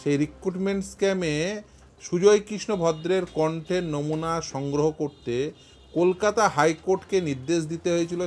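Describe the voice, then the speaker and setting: A man giving argumentative news panel commentary, repeating words for emphasis. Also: fairly high pitch. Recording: faint music under the voice.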